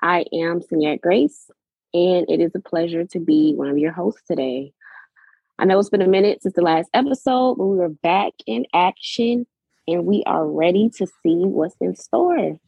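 A young woman from Florida co-hosts a podcast in an upbeat tone. Her voice is low and gravelly, and it sounds husky and dark. The recording is dry and muffled. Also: normal speed, dry recording. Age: 20s. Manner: upbeat, laughs often. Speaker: podcast co-host. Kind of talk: casual podcast hosting.

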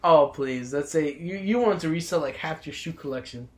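A man's voice: fairly high pitch, normal speed. A young man co-hosting a casual podcast at a desk mic, teasing and trash-talking his friend with easy banter. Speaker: podcast co-host. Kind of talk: casual chat. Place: indoor room.

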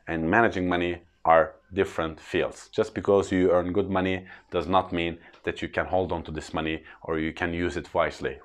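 A man in his thirties with a Turkish accent, explaining personal finance in an earnest, plain way to camera. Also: low-pitched. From Turkey. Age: 30s. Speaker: freelance trainer.

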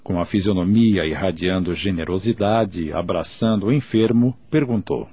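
A man's voice: sits low, normal speed, husky, no echo. A man with a Brazilian accent, announcing and narrating a scripted radio drama. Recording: loud music behind the voice.

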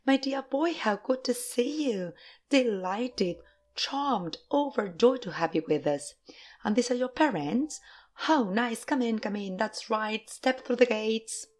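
A woman voicing an effusive, excitable little eccentric male factory owner in a high, fluty storybook voice. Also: creaky. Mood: gushing delight. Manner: effusive, exclamatory, polite, rapid repeated phrases. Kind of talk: storybook character voice.

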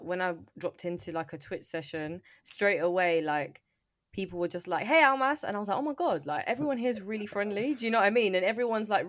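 A woman's voice: mid-range voice, dry recording. A woman co-hosting a podcast, speaking in an encouraging, slangy way. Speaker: podcast co-host. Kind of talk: casual interview chat.

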